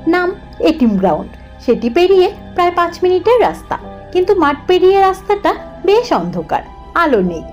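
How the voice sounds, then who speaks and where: Female storyteller for ghost tale, very high lively voice, music underneath. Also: dull audio. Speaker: story narrator. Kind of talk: first-person scripted ghost story narration. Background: background music.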